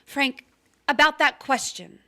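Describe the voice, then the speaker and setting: An actress playing a proud, restless young wife in a stage drama, defensive and sharp-tongued. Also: female voice, high voice, takes it slowly, heavily acted. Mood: confessional. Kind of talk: stage drama performed online.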